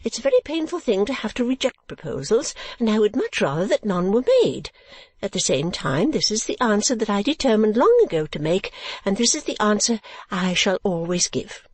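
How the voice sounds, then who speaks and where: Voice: mid-range voice, big pitch swings, brisk, dry recording, pitched above the performer's own voice. A reader voicing a fussy, self-important middle-aged widow from a storybook, prim and emphatically long-winded. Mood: prim, insistent.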